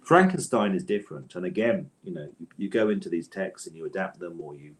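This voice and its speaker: A man with a notably low voice answers interview questions as an author. He speaks conversationally and thoughtfully, hesitating and doubling back to reword his points as he goes. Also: big pitch swings, a little fast. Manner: thoughtful, hesitant, hedging and circling back to rephrase.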